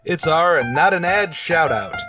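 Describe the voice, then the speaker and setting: Chatty, higher-pitched male podcast announcer over music. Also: expressive, measured pace. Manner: chatty, enthusiastic. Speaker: announcer and host. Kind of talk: upbeat scripted podcast announcing.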